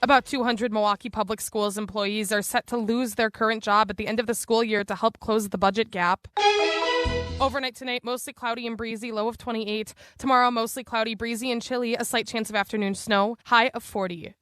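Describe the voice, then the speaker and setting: A woman's voice: on the high side, steady tone, a little fast, a bit bright, dry recording, clean studio sound, faint music behind. A woman radio news anchor reading a scripted bulletin.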